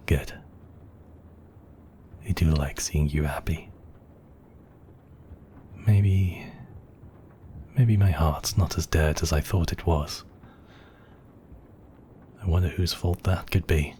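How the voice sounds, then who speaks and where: Casting: a man as an ancient-world vampire count, very low and unhurried, possessive but tender, hushed ASMR murmur. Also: a little hiss or hum. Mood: soothing, affectionate. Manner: slow, hushed, intimate murmur with whispery moments. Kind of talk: romantic ASMR roleplay.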